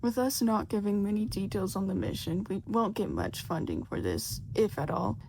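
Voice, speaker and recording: Woman reading as a methodical male detective, creaky voice. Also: mid-range voice, very animated, moderate pace, a little mellow, a little hiss or hum, rough recording. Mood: businesslike, cautious. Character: serious, methodical male police detective briefing agents.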